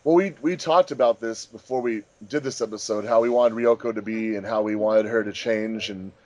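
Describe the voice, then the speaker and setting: Man, director's commentary, casual, explanatory, frequent pauses. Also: lowish voice, darkish tone, muffled recording. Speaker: fandub director and voice actor on commentary. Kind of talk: casual commentary track chat.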